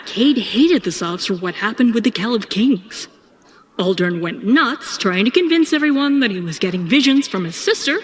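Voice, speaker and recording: A woman's voice: mid-range voice, lightly acted. A woman narrating a sci-fi audio drama in first person as a troubled, brooding soldier. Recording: clear background noise and ambience.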